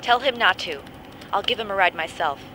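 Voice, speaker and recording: A woman's voice: mid-range voice, expressive, a little fast, noisy background, close to an everyday voice. An actress playing a defiant, weary woman agent on the run from captivity in a video game cutscene. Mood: urgent, tired.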